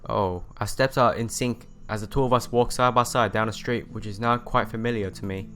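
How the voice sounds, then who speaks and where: A man's voice: lowish voice, steady tone, brisk, a bit bright. A man reading visual novel narration aloud as a let's player, telling it expressively and sometimes stumbling and rereading phrases. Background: music.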